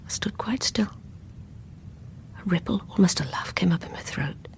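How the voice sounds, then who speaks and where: A woman's voice: fairly low pitch, expressive, moderate pace, creaky, in a whisper. An actress playing a haunted, bitter, unstable woman in a radio play, with a brooding, intense delivery. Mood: menacing, hushed. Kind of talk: radio play acting, dramatic monologue.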